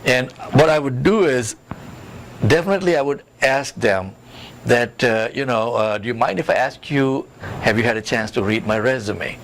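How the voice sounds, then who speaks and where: A man's voice: mid-range voice, normal speed. A man giving career advice in an interview as a coach, with an instructive, emphatic manner that repeats key points. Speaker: career coach and job search expert. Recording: clearly noisy background.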